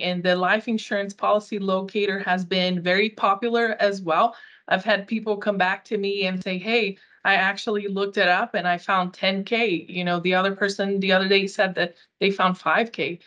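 A woman's voice: medium pitch, fairly bright tone. A woman in her thirties whose first language is Portuguese, talking earnestly about her outreach work in a video-call interview. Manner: earnest, upbeat, some restarts. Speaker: community outreach coordinator. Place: small room.

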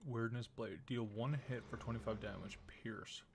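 Low, gravelly male game streamer. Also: steady tone, normal speed, a little hiss or hum. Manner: musing, thinking aloud. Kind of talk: casual gameplay commentary.

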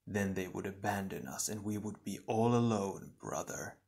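A brooding ice shadow from a fantasy tale, played by a man. The voice is low, soft and hushed. It speaks slowly, with bleak, insinuating coldness. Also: lively intonation, gravelly. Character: cold, brooding shadow double of an ice warrior.

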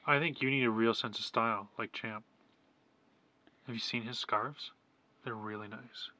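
A man's voice: medium pitch, fairly even intonation, normal speed, sharp tone, no echo. A young man chatting casually as a vlogger inside a van. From Canada. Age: 20s to early 30s. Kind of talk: casual chat. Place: inside a small camper van, parked. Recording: background noise in a van.

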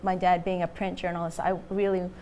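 A woman's voice: fairly low pitch, level tone, fairly quick, darkish tone, a little hiss or hum. A woman in her forties, a Korean native speaker, telling a reflective personal story on a studio panel. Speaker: panel guest, journalist. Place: studio stage with a small audience.

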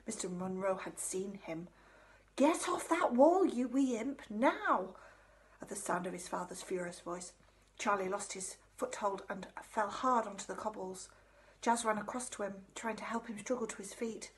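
A woman in her thirties reading a children's story aloud with expressive dialogue. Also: mid-range voice. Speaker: story reader. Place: indoors in a home room.

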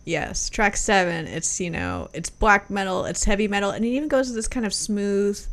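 A woman's voice: medium pitch, animated, moderate pace, fairly bright tone. A woman co-hosting a podcast, chatting casually about an album with lots of fillers and some swearing, on a webcam call from a room at home. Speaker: podcast co-host. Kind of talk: casual music review chat.

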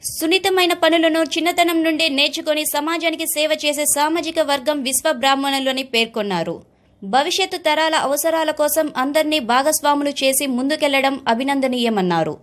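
A woman's voice: sits high, brisk. A woman reading a local news report in a formal voice-over. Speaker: news reader.

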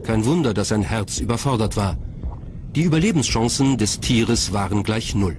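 A man's voice: on the low side, expressive, normal speed, noisy background. A man reading scripted documentary narration as the narrator. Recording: music bed behind narration. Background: background music.

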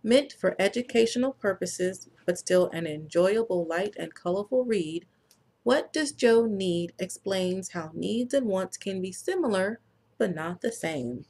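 A woman author reading her own children's book aloud, introducing it in a measured, gentle way. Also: medium pitch, lively intonation. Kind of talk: read-aloud book narration.

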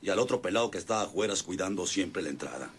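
A man's voice: deep voice, expressive, fast talker, a little hiss or hum. A man acting a boastful, bossy ranch boss in an old-fashioned radio drama, with a rural northern Mexican accent and folksy, domineering manner. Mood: scheming.

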